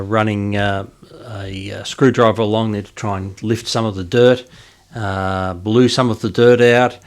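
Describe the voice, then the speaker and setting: A middle-aged Australian man giving an informal narrated walkthrough as a hobbyist, explaining in a measured, hesitant way. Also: lowish voice. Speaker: hobbyist presenter.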